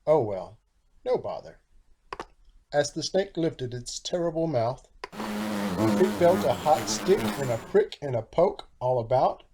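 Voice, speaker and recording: A man reading a children's storybook aloud in a theatrical, animated style with rhyming phrasing. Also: on the high side, slow pace, gravelly, pauses often, noisy background. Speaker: storybook narrator, author.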